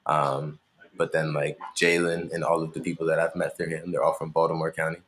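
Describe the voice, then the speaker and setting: A man's voice: very deep voice, monotone, fairly quick, a bit bright, slight background noise. A young man from Maryland, a musician, talking casually in an interview in a laid-back, rambling way with lots of fillers. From Maryland, USA.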